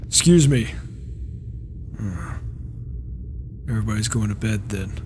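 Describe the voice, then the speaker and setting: A man's voice: on the low side, lively intonation, measured pace, darkish tone. Guy doing an impatient skeptical warrior for a game roleplay, takes his time, grumbly, slight background noise. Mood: grumbling, determined. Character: reclusive male warrior, impatient, skeptical and proud. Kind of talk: improvised video game roleplay. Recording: faint noise, rain behind, mic issues.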